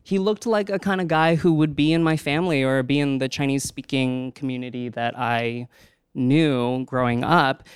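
Very clear-voiced man. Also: medium pitch, normal speed, no room sound, studio quality. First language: Cantonese. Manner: animated, with laughing asides. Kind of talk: casual chat.

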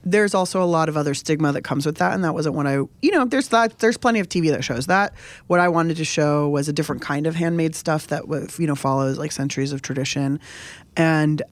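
A woman's voice: deep voice, fairly quick, no room sound. A young adult woman from San Diego, a cook and show host, talking casually in an interview, chatty and often laughing.

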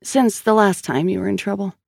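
A man's voice: high voice, a little fast, gravelly, no room sound, clean studio sound, exaggerated voice. A man voicing a weary, guarded woman police detective in her thirties, terse and exasperated, in an audiobook. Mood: wary.

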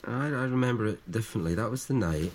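An actor playing a nostalgic brother who coaxes out shared memories, in naturalistic audio drama. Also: male voice, mid-range voice, lively intonation, fast talker, lightly acted. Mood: reminiscing, coaxing.

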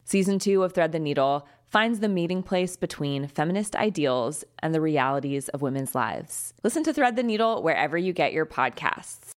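A woman reading a crisp, earnest promo for her podcast. Her voice is notably low for a woman. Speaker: podcast host. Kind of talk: scripted promo.

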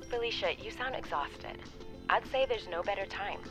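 A woman's voice: medium pitch, measured pace, over music, lightly acted. A woman with an American accent playing a brash, breezy friend and mother in an audio drama, chatty and slangy. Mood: brisk, caring. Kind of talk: naturalistic audio drama acting.

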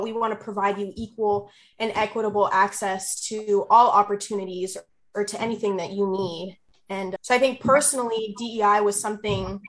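A woman in her twenties, answering an interviewer with an earnest, heartfelt reflection in measured phrases. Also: mid-range voice, a bit bright, low-quality recording. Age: 20s.

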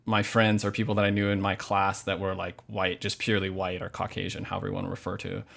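A man with an American accent speaks in a fairly low voice as an interview guest. His delivery is earnest and thoughtful, and he often searches for the right wording in a conversational way. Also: monotone, fast talker, vocal fry, a bit bright. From Hawaii, United States. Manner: earnest, reflective, searching for words. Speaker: podcast guest, novelist.

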